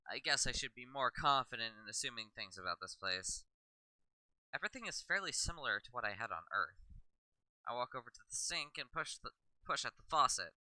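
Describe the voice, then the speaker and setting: A man narrates a visual novel in the first person. His voice is strikingly bright and forward, with a clean, smooth tone and a mid-range pitch. The recording is dry, with little room around it. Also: dry recording, poor audio. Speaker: video maker reading a visual novel aloud.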